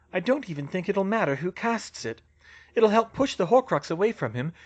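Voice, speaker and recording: A man plays a clever young witch heroine from a fantasy audiobook. She is defiant and highly strung, excitable as she thinks aloud, with a high voice and very lively intonation. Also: dull audio.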